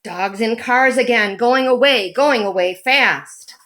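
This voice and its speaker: A woman reading a children's picture book aloud in a deliberate, animated storytelling manner. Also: fairly high pitch, low-quality recording.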